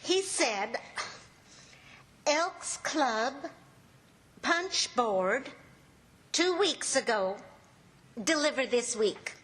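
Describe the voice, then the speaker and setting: A woman playing a patient, inquisitive housewife in an old-time radio comedy, brisk and gently chiding. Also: high-pitched, animated, heavily acted. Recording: noticeable background noise.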